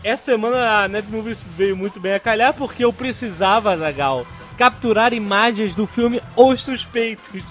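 Brazilian guy hosting a podcast, really high bright voice, excited and chatty. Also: moderate pace, hardly any pauses, soft background music. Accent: Brazilian Portuguese. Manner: excited, chatty, keeps saying "cara". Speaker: podcast host. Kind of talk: casual podcast chat and sponsor read.

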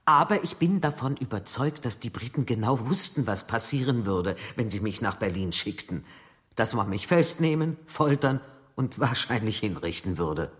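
A woman's voice: very deep voice, animated, normal speed. An actress playing a wary, frightened woman agent held by the Soviets, speaking in a hesitant, guarded way in a German radio drama. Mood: earnest, guarded.

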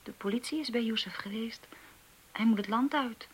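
An actress in a radio drama playing a hesitant young woman who talks in halting, unsure phrases. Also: on the high side, husky, rough recording.

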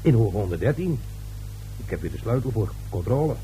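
An actor playing a gossipy, grumbling caretaker in a radio play, hesitant and repeating himself. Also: male voice, fairly low pitch, sing-song, brisk, dark tone, rough recording. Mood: uneasy, grumbling. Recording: old radio recording with faint hum and noise.

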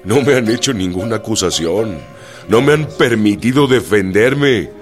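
A man's voice: lowish voice, expressive, normal speed, close to an everyday voice. A man playing a condemned, defiant and solemn revolutionary officer in a radio drama. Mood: indignant, pleading. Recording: music behind the voice.

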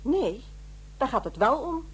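An actress playing a pointed, contrary wife in a Netherlands Dutch radio play. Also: female voice, high voice, sing-song, unhurried, slightly rough, heavily acted. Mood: contrary, emphatic.

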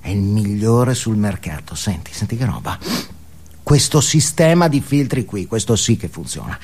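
A man's voice: fairly low pitch, big pitch swings, moderate pace, a little mellow, pitched below the performer's own voice. A man playing a smug, irritable well-off family man showing off in a comic stage monologue, chummy and always seeking agreement.

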